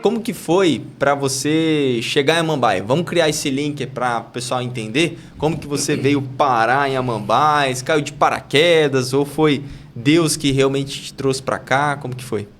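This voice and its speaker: A man hosting a casual podcast interview, chatty and upbeat, running through sponsor thanks and warmly drawing out his guest. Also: medium pitch, unhurried, few pauses. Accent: Brazilian Portuguese. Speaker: podcast host.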